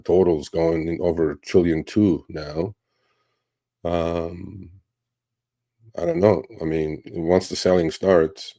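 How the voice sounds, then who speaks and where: A man in his fifties from Turkey, hosting a livestream Q&A and telling stories in a relaxed, rambling way with many fillers. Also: sits low, no room sound, clean studio sound. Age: 50s. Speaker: livestream host. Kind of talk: casual livestream Q&A.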